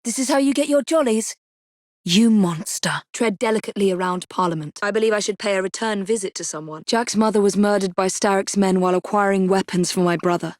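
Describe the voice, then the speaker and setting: An actress playing a young Victorian assassin in a video game, with an English accent and crisp, formal, dryly composed delivery. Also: female voice, mid-range voice, fairly quick, dry recording, lightly acted. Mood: disapproving, dry. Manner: crisp, articulate, formal, dry. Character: determined, sharp-witted young female Victorian assassin, composed and dry.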